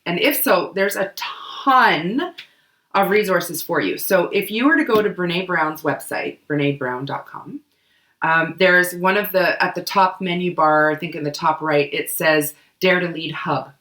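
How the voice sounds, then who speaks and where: Woman, hoarse from a cold, lowish voice, chatty podcast co-host, echoey room. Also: measured pace, fairly bright tone. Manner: chatty, loose, many fillers. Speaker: book club podcast co-host. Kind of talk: casual explanatory chat.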